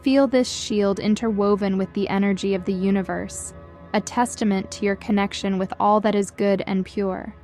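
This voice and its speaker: A woman reading a scripted guided meditation in a gentle, soothing, measured way, as a guide leading listeners. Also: mid-range voice, fairly even intonation. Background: background music.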